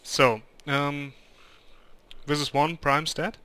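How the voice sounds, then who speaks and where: A man's voice: fairly high pitch, steady tone, takes it slowly, pushed and bright, clean tone. A young German man with a German accent, speaking English as a second language, giving hesitant gameplay commentary. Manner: hesitant, many ums and restarts. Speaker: gamer.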